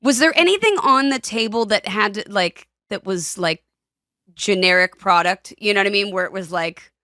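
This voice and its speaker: A woman's voice: medium pitch, moderate pace, sharp tone, no room sound, clean studio sound. Chatty woman with a slight lisp. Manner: rambling, many restarts and fillers. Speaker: podcast co-host and former child actor. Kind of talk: casual chat.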